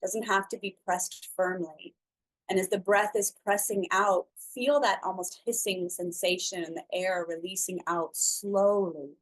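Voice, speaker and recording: A woman guides listeners through a slow breathing meditation in a soft, calming voice. Her pitch sits in the ordinary middle range, and she lets long pauses hang between her gentle phrases. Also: low-quality recording. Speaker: breathwork instructor.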